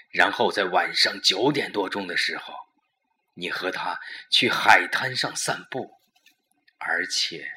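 A man playing a smug, scheming murder plotter in a suspense audio drama, coaxing his lover with emphatic, deliberate instructions. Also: low voice, sharp tone, exaggerated voice.

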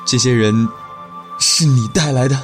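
A man voices a trusting young merman in a radio drama. His voice is mid-range and gentle, easily wounded. Music plays clearly behind him. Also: mid-range voice, measured pace, natural voice. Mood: betrayed, pained. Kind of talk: dramatic audio drama acting.

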